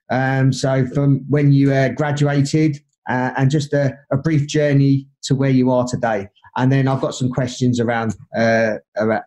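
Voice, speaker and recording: A man's voice: medium pitch, darkish tone. A man hosting an interview podcast who introduces his guest and asks chatty questions full of fillers. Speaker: podcast host.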